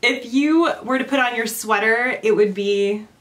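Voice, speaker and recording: A woman's voice: on the high side, unhurried. A woman in her thirties from Southern California with a Californian accent, hosting a casual chat and asking curious, giggly questions. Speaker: host.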